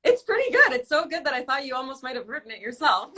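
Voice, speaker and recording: Bright-voiced young woman hosting, laughing often. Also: high voice, sing-song, fast talker. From Canada. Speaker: host. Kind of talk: casual live-stream interview.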